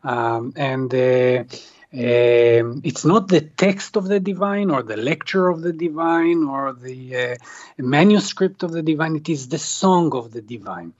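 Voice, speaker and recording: A man whose first language is Hebrew speaks slowly and with many pauses. He fills his reflective answers with ums and uhs. The recording is dry and dull, like lo-fi audio. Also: mid-range voice, lively intonation, no room sound. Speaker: translator, guest. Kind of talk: hesitant interview answers.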